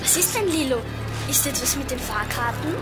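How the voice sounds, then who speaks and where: A woman voices an excitable little girl detective, very high in pitch and easily scared. She repeats her words, in a children's radio play with loud music behind her. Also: moderate pace. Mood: concerned. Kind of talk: children's audio drama acting.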